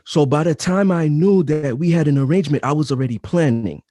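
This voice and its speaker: A man with a deep voice, a guest author talking casually in an interview, emphatic and slangy, often repeating his phrases. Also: fairly quick, dark tone, dry recording. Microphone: home mic setup.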